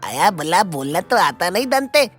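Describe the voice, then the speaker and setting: A squabbling lad with a babyish lisp and a lively, swooping delivery for a comic cartoon. Music plays faintly behind. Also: female voice, on the low side, normal speed. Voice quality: lisping, mispronounces consonants. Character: bickering village lad with babyish mispronunciation.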